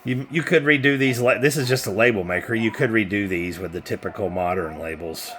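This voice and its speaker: Man, low bright voice for an informal, rambling seller's demo; faint noise behind. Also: brisk. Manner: informal, rambling, hands-on while talking. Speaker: seller demonstrating used radio gear. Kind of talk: casual product demo chat. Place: indoors at a desk with radio equipment.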